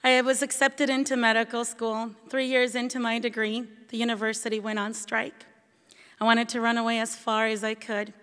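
Woman telling her story, kinda high voice, echoey hall sound. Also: level tone, moderate pace, a bit bright, clear, resonant tone. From Venezuela. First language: Spanish. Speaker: bilingual teacher. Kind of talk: scripted personal storytelling. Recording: long hall reverb.

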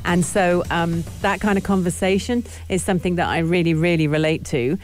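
A woman's voice: lowish voice, fairly even intonation, a little fast, darkish tone, faint music behind. A woman co-hosting a show, chatting and asking a guest questions in an engaged way. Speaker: radio show co-host. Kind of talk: casual interview chat.

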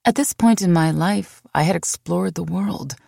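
Low-voiced woman narrating an audiobook. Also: moderate pace, vocal fry, dark tone, no room sound, studio quality. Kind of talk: scripted memoir narration.

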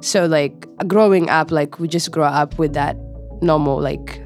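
A young woman from Somalia with a Somali accent, telling personal stories casually in informal, halting phrases. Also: low-pitched, fairly even intonation, a little mellow, faint music behind. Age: young adult. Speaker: interview guest, student and storyteller. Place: studio.

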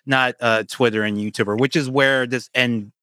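A man co-hosting a casual online chat, opinionated and loose, filling his sentences with "like". His voice is mid-pitched and sounds close and dry. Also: fairly even intonation, normal speed, sharp tone, no echo. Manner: casual, heavy use of "like". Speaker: co-host.

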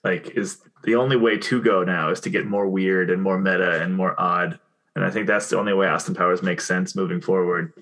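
Low-voiced rambling man talking movies. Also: steady tone, fairly quick, fairly bright tone. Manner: rambling, restarts sentences, laughs often. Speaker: podcast co-host. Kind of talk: casual movie chat.

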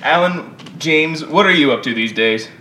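A man's voice: a little high, lively intonation, normal speed, reverberant, background noise. A young man co-hosting a casual podcast, theatrical and enthusiastic. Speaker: podcast co-host. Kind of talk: casual chat.

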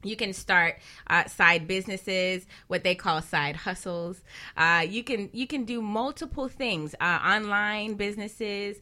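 Female radio co-host, financial advisor type. Bright, forward voice, conversational explainer, poses questions to the listener. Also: medium pitch, unhurried.

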